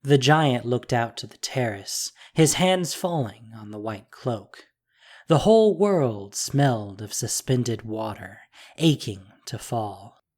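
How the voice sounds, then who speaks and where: A narrator reading a scripted fantasy audio drama in a measured, dramatic, descriptive way. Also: mid-range voice, lively intonation, a little mellow.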